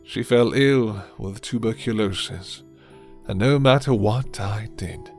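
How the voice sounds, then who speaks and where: A man voicing a suave, menacing, condescending vampire lord in an ASMR roleplay, courtly and theatrical, with deliberate delivery. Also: fairly low pitch, darkish tone. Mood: brooding, sorrowful. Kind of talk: ASMR roleplay character acting. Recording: music behind the voice.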